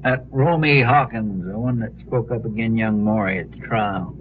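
An actor playing a folksy, deferential, slightly flustered marshal's helper in a 1950s radio western, with a homely, hesitant way of talking. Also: male voice, lowish voice, moderate pace, close to an everyday voice. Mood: hesitant, respectful. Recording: muffled, thin, noisy old radio recording.

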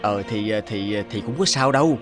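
A man's voice: on the low side, expressive, normal speed, natural voice. A man voicing an evasive young fisherman husband in a cartoon, speaking hesitantly, with music behind. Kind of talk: animated folk-tale dubbing. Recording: music under the voice.

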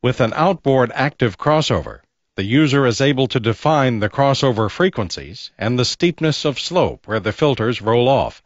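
A man reading a scripted educational narration in a measured, precise, didactic way. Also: medium pitch, lively intonation, no echo, dull audio. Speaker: instructional video narrator. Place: close, dry voice-over recording.

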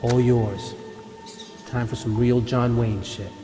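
A commanding American squad leader acted by a man gives orders in a mid-pitched, terse voice, as in a war game cutscene. The sound rings with long reverb under loud music. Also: steady tone, slow pace, lightly acted. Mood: businesslike, urgent. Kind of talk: video game cutscene acting.

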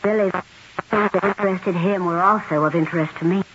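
A woman's voice: fairly low pitch, fairly even intonation, fairly quick, close to an everyday voice. A woman playing a wistful, unhappy wife with theatrical, reflective delivery in a 1970s radio drama. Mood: bitter musing. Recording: muffled, faintly noisy 1970s radio recording.

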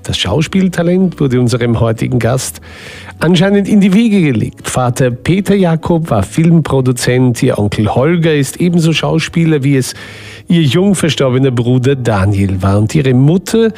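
Mellow-voiced man reading a radio intro. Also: medium pitch, expressive, normal speed. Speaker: radio presenter. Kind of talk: scripted narration.

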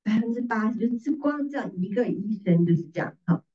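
A woman's voice: medium pitch, lively intonation, a little fast, dark tone. A middle-aged woman preacher giving a teaching sermon, repeating phrases and posing rhetorical questions. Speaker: preacher, pastor's wife. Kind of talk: sermon teaching in Mandarin with slides. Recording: distant mic, slightly muffled sound.

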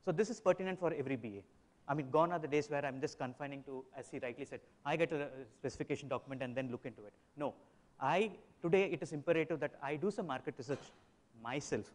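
Man, 40s, conference panelist; rapid and emphatic, handheld mic in a big hall. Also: fairly high pitch. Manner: fast, emphatic, storytelling with examples. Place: large hotel conference hall.

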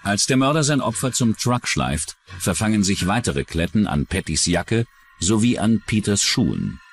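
Low-voiced, animated male documentary narrator. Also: normal speed, slight background noise. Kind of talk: scripted true-crime narration.

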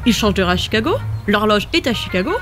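Fast-talking, flippant wife played by a woman. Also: mid-range voice, lively intonation, soft background music, close to an everyday voice. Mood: teasing. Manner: sardonic, brisk, nonchalant. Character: teasing, flippant, practical wife. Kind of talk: comic audio drama acting.

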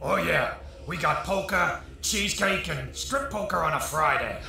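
Folksy drunk deity, male actor, highish creaky voice, blunt and wry stage dialogue, heavy room echo, faint noise. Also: lively intonation, measured pace, pushed and bright, heavily acted. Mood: gruff, jovial. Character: gruff, wisecracking drunken male God in hell. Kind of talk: stage-style comic drama dialogue.

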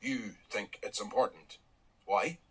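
An actor playing a blunt, wry middle-aged dairy farmer with a Northern Irish accent, in a radio drama. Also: male voice, on the low side, slow, fairly bright tone, breathy edge, heavily acted. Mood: wry, stubborn.

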